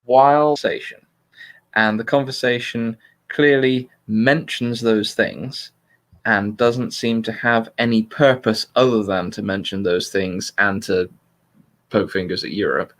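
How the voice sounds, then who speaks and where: A man's voice: lowish voice, steady tone, a little slow. A man hosting a casual livestream political discussion in an analytical way, qualifying his points carefully. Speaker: livestream host.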